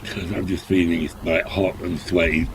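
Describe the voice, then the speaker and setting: An older man playing a roleplay game and chatting casually; he is coming down with a cold and talks in a tired, unhurried way with mumbled asides. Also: sits low, little pitch movement, background noise. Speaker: roleplay streamer.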